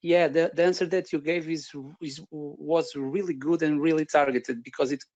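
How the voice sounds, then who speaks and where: A man's voice: on the high side, steady tone, normal speed, darkish tone, no room sound, lo-fi sound. A man from Portugal whose first language is Portuguese. He speaks English with a Portuguese accent and asks questions on a video call in a hesitant, polite way. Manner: hesitant, many fillers and restarts, polite. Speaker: webinar attendee asking questions.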